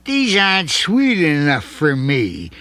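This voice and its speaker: A sleepy little bear in a storybook grumbles slowly and crankily, as a man puts him on. His words drag, heavy with drowsiness. The sound is dry and close. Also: fairly high pitch, wildly swooping pitch, pushed and bright, no echo, exaggerated voice. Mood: grumpy. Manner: slow, grumpy grumbling.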